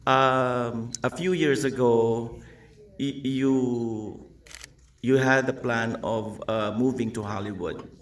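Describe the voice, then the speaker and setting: A man asking a question at a press conference, slow and halting with many fillers. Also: mid-range voice, steady tone. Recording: echoey room, distant mic.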